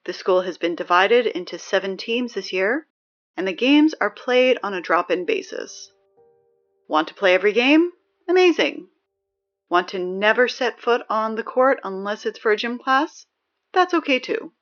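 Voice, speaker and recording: A young woman playing a wry, peppy teenage girl who reads high school announcements in a chatty, sardonic, emphatic way for a scripted audio drama. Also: lively intonation, normal speed, a bit bright, muffled recording, close to an everyday voice. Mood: peppy, cajoling.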